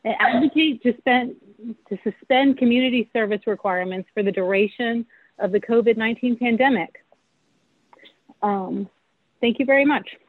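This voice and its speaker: A woman advocate calling in to read a measured, prepared appeal at a public meeting. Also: on the high side, dry recording. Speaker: advocate caller. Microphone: phone call. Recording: tinny phone line.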